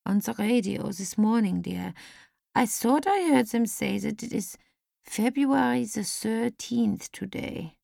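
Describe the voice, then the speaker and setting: A woman voicing a frail, gentle, wistful old lady in an audiobook, speaking slowly and very politely. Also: fairly high pitch, creaky, dry recording, clean studio sound, voice pushed up, heavily acted. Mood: anxious, hopeful. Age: older. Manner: slow, deliberate, polite. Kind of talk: audiobook character acting.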